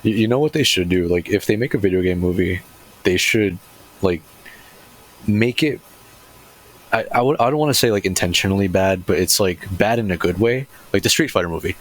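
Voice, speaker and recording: A man's voice: low-pitched, brisk, poor audio. A man talking informally as a panelist on a podcast. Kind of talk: casual chat.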